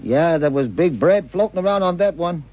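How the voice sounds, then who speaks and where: An actor playing a streetwise, obliging underworld informant in an old radio crime drama, slangy, sly and deferential. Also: male voice, on the high side, animated, moderate pace. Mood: sly, knowing. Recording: dull vintage radio drama recording.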